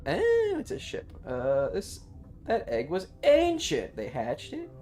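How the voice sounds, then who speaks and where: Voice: mid-range voice, huge pitch swings, takes it slowly, darkish tone. A streamer casually commenting on a game and chatting with viewers in a rambling, playful, profane manner. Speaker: live streamer.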